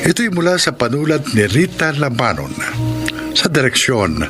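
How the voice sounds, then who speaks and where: A man's voice: medium pitch, sing-song, normal speed. A Filipino man giving dramatic scripted narration as the announcer of a radio drama. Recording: loud music behind broadcast voice.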